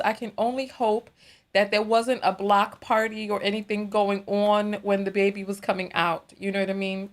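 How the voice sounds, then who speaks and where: A woman with a New York accent hosting a casual livestream, chatting and reading viewer comments, laughing and repeating herself. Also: mid-range voice. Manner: chatty, animated, laughs often, repeats phrases. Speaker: livestream host.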